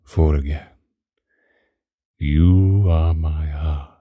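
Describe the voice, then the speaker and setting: A man playing a possessive, doting ancient vampire lover in a breathy, intimate ASMR roleplay, close to the microphone. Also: steady tone, no room sound, narrow, tinny audio, heavily acted. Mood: adoring, intimate. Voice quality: very deep, dark, husky, breathy, often whispering. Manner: slow, intimate, old-fashioned romantic wording. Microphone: close microphone, dry.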